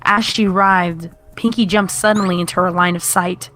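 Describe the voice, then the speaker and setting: Low-voiced woman narrating a horror story. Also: fairly even intonation, moderate pace, loud music behind the voice.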